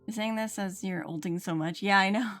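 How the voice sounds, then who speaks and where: A woman streams a video game in a casual voice at a middling pitch, thinking aloud and reading lines off as she goes. Game music plays along behind her. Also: brisk, fairly bright tone. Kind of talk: casual livestream gameplay chat, reading dialogue aloud.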